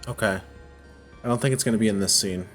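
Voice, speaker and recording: A man's voice: lowish voice, quick pace, soft background music. A man giving casual gameplay commentary, thinking aloud in a hesitant, self-questioning way as he works through a puzzle game. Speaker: video game playthrough host.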